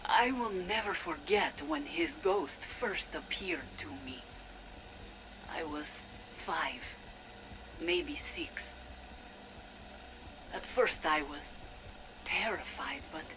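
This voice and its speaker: A woman playing a fervent, secretive zealot recording a hushed, intense personal log for a video game. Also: low voice, lively intonation, noisy background. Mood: haunted devotion. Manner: slow, hushed, intense, deliberate. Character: fervent, secretive female zealot awaiting her father's vengeance.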